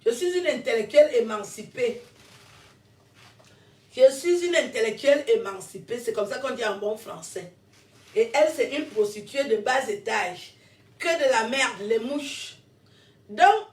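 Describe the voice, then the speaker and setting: A woman's voice: fairly high pitch, a little mellow. A woman in her fifties, born in France, giving a combative, rambling monologue to camera and laughing between her jabs. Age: 50s. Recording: roomy echo, distant from mic.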